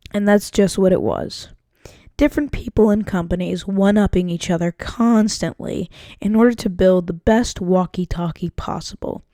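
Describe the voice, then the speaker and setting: Mellow-voiced woman reading documentary narration. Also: mid-range voice, no room sound, studio quality. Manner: reading from a script, with occasional stumbles. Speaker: student presenter.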